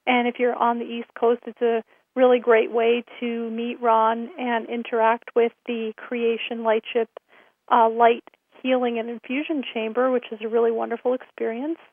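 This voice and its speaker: A woman hosting a radio show, hoarse from a cold, giving friendly announcements with many fillers. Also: on the high side, flat delivery, moderate pace, no echo. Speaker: host. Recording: thin phone-line sound.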